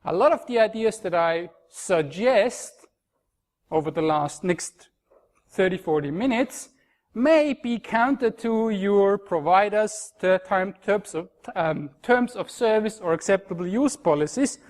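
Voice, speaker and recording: A man's voice: sits high, lively intonation, measured pace, darkish tone. A middle-aged man from Austria with an Austrian accent, giving a frank, wry conference talk. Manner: frank, wry, self-deprecating. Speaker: conference speaker.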